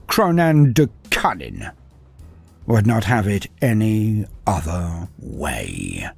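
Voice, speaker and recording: A man's voice: medium pitch, creaky, darkish tone, breathy edge. A man narrating a fan-made war story in dramatic storytelling fashion. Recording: dry close mic with faint music.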